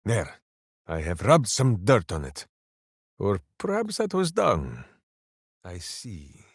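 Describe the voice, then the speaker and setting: An actor voicing a big, brawny, good-hearted and simple warrior in a video game, speaking in a theatrical, declamatory manner with grand, quaint phrasing. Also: male voice, fairly low pitch, wildly swooping pitch, slow pace, breathy edge, no echo, studio quality, exaggerated voice. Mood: grave, musing. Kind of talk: video game character voice lines.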